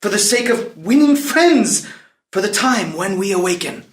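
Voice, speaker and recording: An actor playing a volatile young prince in a declamatory classical stage play reading. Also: male voice, sits high, animated, normal speed. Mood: resolute. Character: volatile young prince torn between fury and mercy. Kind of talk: unrehearsed table read of classical verse drama. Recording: echoey room.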